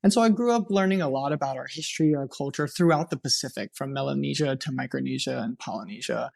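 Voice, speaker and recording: A man from Hawaii, a radiation oncologist, telling his personal story on a podcast in an earnest, unhurried way. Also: medium pitch, vocal fry.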